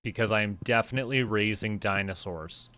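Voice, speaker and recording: A man's voice: lowish voice, fairly quick. A man from Canada chatting casually as a game streamer, thinking aloud in a playful way. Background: music.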